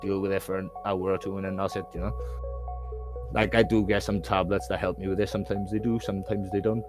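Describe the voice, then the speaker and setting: Deep-voiced Welsh man, candid and rambling. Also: level tone, fast talker, music in the background. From Wales. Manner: candid, rambling, frequent "do you know what I mean". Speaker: guest. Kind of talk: casual conversation.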